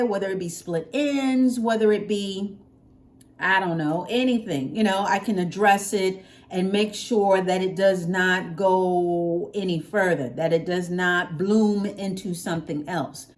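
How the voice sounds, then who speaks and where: Middle-aged American woman, mid-pitched voice, folksy and expressive home vlogger talking casually to camera, repeats herself for emphasis. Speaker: hair care vlogger. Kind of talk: casual chat to viewers. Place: open-plan living room at home.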